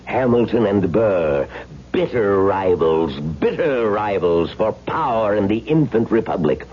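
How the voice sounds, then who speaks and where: An actor playing a pompous, egomaniacal film director in a 1970s radio drama, declaiming theatrically and repeating phrases for effect. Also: male voice, on the low side, animated, a little slow. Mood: impassioned, lecturing. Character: pompous, egomaniacal male film director, grandiose and self-important. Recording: muffled, noisy vintage radio broadcast recording.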